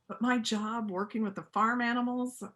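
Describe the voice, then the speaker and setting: A woman's voice: fairly high pitch, fairly even intonation, normal speed, fairly bright tone, lightly acted. A woman playing a kind, plain-spoken widow who works with farm animals, in a reader's theater play. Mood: reflective, earnest. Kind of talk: stage reader's theater dialogue.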